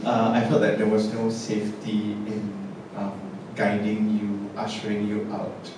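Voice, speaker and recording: A man's voice: on the low side, monotone, a little slow. A man, a theatre director speaking hesitantly and reflectively on a panel after a show, with many fillers and restarts. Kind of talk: post-show panel discussion. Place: large theatre stage. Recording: echoey theatre from a distance with noise.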